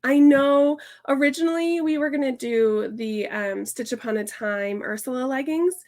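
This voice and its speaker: A woman hosting a casual sewing tutorial, her voice hoarse and stuffy from a sinus infection, chatting upbeat with lots of fillers and restarts. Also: high-pitched, moderate pace, darkish tone. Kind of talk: casual sew-along tutorial chat.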